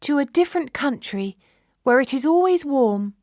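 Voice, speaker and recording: A woman's voice: sits high, expressive, normal speed, a little mellow, dry recording, studio quality, pitched above the performer's own voice. A woman voicing a grateful, caring male swallow in a fairy tale, speaking in an earnest, tender way. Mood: bright.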